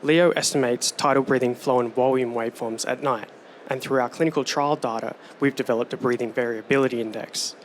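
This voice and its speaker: A man giving a rehearsed startup pitch on stage with a steady, polished delivery. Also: fairly quick, a little hiss or hum. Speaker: startup presenter.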